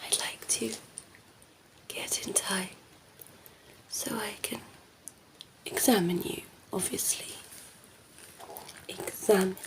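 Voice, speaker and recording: Woman playing a slow, creaky, flirty vampire lady. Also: medium pitch, fairly even intonation, poor audio. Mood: playful, flirty. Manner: playful, coy, murmuring, often dropping into whisper. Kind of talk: ASMR roleplay. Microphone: close ASMR microphone.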